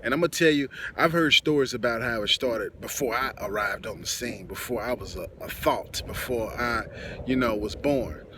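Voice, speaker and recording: A man's voice: medium pitch, moderate pace. Man, Texan accent, middle-aged, casual rambling storytelling, fillers and asides, outdoors, windy noisy phone recording. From Texas. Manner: loose and conversational, many fillers and asides. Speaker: host, musician. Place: outdoors in wind and sun.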